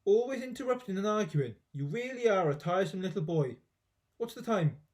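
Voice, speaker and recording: A man's voice: high-pitched, expressive, brisk, voice pushed up. Man putting on a screechy, nasty old grandmother. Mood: snappish, bossy. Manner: snappish, shouting, scolding. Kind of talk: children's storybook character voice.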